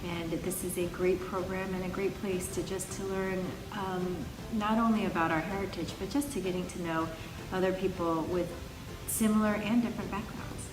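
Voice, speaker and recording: A woman's voice: fairly low pitch, steady tone, moderate pace, music in the background. A middle-aged woman from the United States with an American accent, giving an informal testimonial as a parent. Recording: roomy echo, distant microphone.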